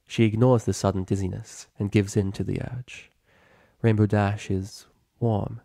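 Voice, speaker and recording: Deep, gravelly male story narrator. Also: steady tone, measured pace, mellow, no room sound, studio quality. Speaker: fan fiction narrator. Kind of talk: dramatic scripted story narration.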